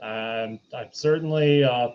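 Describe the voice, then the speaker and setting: A man's voice: mid-range voice, takes it slowly, clean tone. A man on a podcast panel giving casual movie opinions through a slightly dull, lo-fi microphone.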